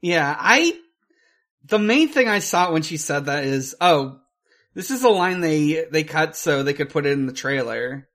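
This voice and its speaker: A podcast co-host casually discussing a film in a halting, hesitant way, with casual swearing. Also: mid-range voice, lively intonation, fairly bright tone.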